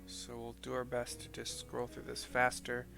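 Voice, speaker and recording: Halting man describing over game music. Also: mid-range voice, monotone. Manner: halting, searching for words. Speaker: video game streamer. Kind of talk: casual improvised scene description. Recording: clear game music behind voice.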